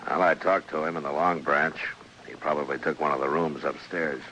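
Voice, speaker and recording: An actor playing a stern, steady western town marshal in an old-time radio drama, terse and matter-of-fact. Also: male voice, very deep voice, a little fast. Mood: resigned. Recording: noisy old radio recording.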